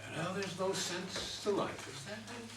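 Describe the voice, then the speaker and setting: Man performing steady challenging barman, play reading, pointed delivery, slightly high animated voice, echoey distant recording. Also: normal speed, close to an everyday voice. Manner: probing, pointed questioning. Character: steady, challenging male bartender and confidant. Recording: roomy, distant mic, faint noise.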